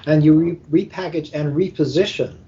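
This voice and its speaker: An older American man, a book editor in a panel conversation, speaking in a deliberate, halting, professorial way. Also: mellow. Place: hotel room at a convention, internet call. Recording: muffled internet call, rumbling noise.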